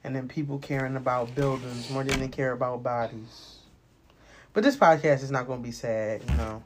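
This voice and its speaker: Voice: mid-range voice, fairly even intonation, normal speed, a little hiss or hum. An American podcast host in their late twenties talking casually and personally, rambling, candid and emphatic, with plenty of swearing. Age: late 20s.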